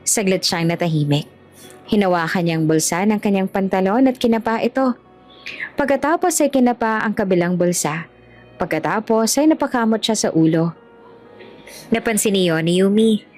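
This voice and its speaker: A woman's voice: mid-range voice, expressive, normal speed, darkish tone. Woman narrating a story over music. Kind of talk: scripted audio story narration.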